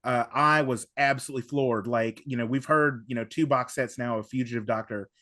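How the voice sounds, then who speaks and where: A man's voice: medium pitch, fairly even intonation, brisk, a bit bright, dry recording, clean studio sound. Effusive man chatting on a podcast. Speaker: podcast guest. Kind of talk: casual podcast chat, recommendations.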